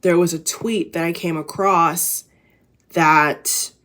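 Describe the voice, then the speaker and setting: Low, raspy, tired woman vlogger. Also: fairly even intonation, takes it slowly, fairly bright tone. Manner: rambling, many fillers and restarts. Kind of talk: casual inspirational talk to camera.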